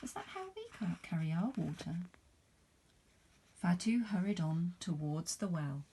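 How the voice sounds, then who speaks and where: A woman reading a picture book aloud to children in an expressive, animated way, putting questions to young listeners. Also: fairly low pitch, a little slow, a little hiss or hum. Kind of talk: expressive storybook read-aloud.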